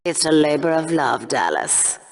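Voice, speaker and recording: An actress playing a gleefully sadistic co-anchor of a combat TV show in a video game, with polished presenter delivery and menacing relish. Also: female voice, sits low, very animated, measured pace, rough recording. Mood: morbid relish. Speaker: sports show co-host. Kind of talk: mock newscast commentary.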